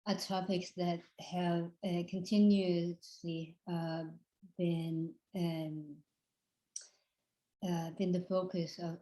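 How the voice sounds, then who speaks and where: Female panel moderator, halting delivery full of fillers, warm tone, roomy sound. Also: lowish voice. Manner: frequent ums and uhs. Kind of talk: hesitant panel introduction. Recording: echoey room.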